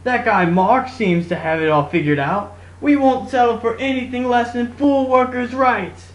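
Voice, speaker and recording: A woman's voice: fairly high pitch, normal speed, close to an everyday voice. A woman playing a downtrodden, defiant factory worker in a scripted puppet show speech. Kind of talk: rallying puppet show monologue. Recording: echoey room.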